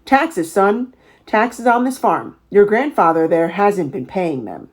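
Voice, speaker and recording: Lady doing a pushy little tax guy in a kids book, pompous and demanding, pitch all over the place. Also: animated, slow, slightly rough. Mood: smug, official. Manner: authoritative, blustering, demanding. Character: short, pompous, bullying male tax man, city slicker. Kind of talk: storybook read-aloud character voice.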